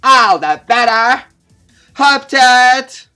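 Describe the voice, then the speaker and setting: A man's voice: extremely high pitch, animated, very slow, smooth, faint music behind, voice pushed up, exaggerated voice. A man voicing a ruthless army commander in a recruitment parody, barking orders in a commanding manner. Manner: shouted, commanding.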